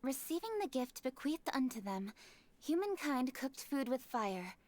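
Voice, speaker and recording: High-voiced, composed young noblewoman. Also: big pitch swings, measured pace, darkish tone. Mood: solemn reading. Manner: formal, articulate. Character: composed, diligent young noblewoman, formal and decisive. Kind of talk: video game voice acting.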